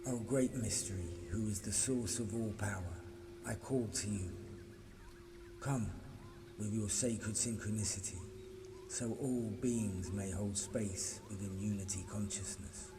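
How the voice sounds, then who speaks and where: Man, lowish voice, solemn ritual reading, measured and declarative, heavy reverb, noisy ambient backdrop. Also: fairly even intonation. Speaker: reader of a spiritual decree. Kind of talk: solemn scripted spiritual invocation reading. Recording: long reverb, clearly noisy ambient background.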